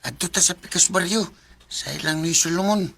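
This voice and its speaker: A man's voice: high voice, a little fast, vocal fry, bright, forceful tone, a little hiss or hum, heavily acted. An actor playing Lotlot, a cheerful village youth who jokes with his friends in a radio drama. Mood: friendly. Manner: friendly and persuasive, bantering.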